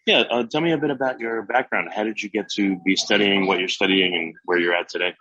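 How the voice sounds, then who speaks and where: Give me a man hosting an interview podcast, low-pitched with a bright edge. His tone is casual and conversational, and the sound is dry and slightly lo-fi. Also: fast. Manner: conversational, loose and musing. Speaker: podcast host.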